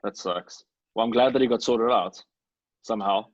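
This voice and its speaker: A man's voice: on the low side, normal speed, lo-fi sound, slight background noise. A man from Africa, chatting casually with fellow podcast cast members. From South Africa. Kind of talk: casual chat.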